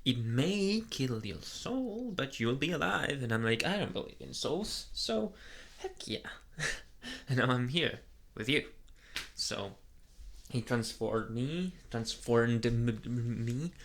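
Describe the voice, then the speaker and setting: Man acting as shy anime vampire boy, ASMR boyfriend roleplay, slow hesitant stammer, creaky edge, soft-spoken, sweet and nervous. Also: mid-range voice, big pitch swings, slight background noise, exaggerated voice. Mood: nervous, confiding. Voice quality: soft, breathy at times.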